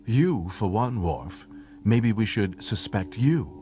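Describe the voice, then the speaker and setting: A man's voice: fairly low pitch, animated, slow, over music, pitched above the performer's own voice. A man voicing a bold, teasing woman warrior in an audiobook, playful and challenging. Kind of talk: audiobook character acting.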